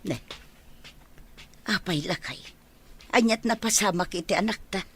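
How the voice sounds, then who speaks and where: A woman's voice: medium pitch, unhurried, noisy background, natural voice. A woman playing a homely, fussing older mother in an audio drama. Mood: fussing, concerned.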